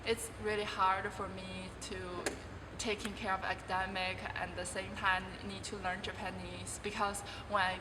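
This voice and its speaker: A woman's voice: mid-range voice, flat delivery, normal speed. A young Chinese woman, a college volleyball player, answering interview questions in careful non-native English with frequent restarts. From China. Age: 20s. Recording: distant voice in a reverberant room with clear background noise.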